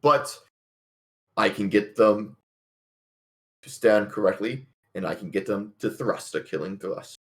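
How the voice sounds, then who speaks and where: A man improvising in-character tabletop roleplay, speaking in a halting, stammering and rather formal way. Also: lowish voice, fairly bright tone.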